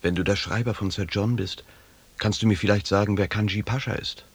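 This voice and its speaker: Gravelly, proud wandering captain. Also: male voice, fairly low pitch, steady tone, fairly quick, no room sound. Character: proud wandering African company captain, rootless and hardened. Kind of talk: 1980s German radio drama acting.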